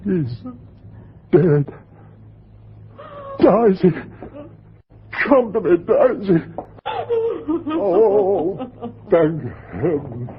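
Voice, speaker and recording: High-voiced, distraught parent. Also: male voice, sing-song, drawn-out words, long pauses, full character voice. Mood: shaken, relieved. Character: distraught parent searching for missing daughter Daisy. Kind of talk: 1940s radio drama acting. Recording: muffled, slightly noisy 1940s radio broadcast.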